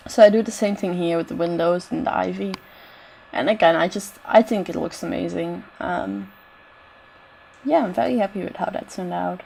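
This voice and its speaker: A woman's voice: lowish voice, normal speed, creaky, a little mellow, a little hiss or hum, low-quality recording. A woman with a bad cold, her voice straining, giving casual rambling commentary over a building game with lots of "I don't know" asides. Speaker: game builder narrating a renovation.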